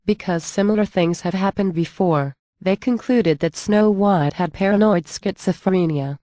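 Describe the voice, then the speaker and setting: A woman's voice: medium pitch, normal speed, dark tone, dry recording. A woman reading scripted narration for a storybook tale.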